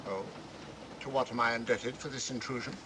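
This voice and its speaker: A man's voice: medium pitch, steady tone, a little fast, natural voice. An actor playing a haughty, irascible male train passenger, combative and scornful, in 1940s film style. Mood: indignant. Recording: noisy old 1940s film soundtrack.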